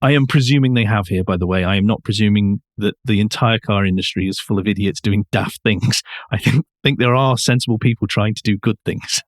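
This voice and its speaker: Low-voiced man, dry studio sound. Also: brisk, few pauses, dry recording. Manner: opinionated, emphatic. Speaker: podcast co-host. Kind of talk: casual discussion of car news.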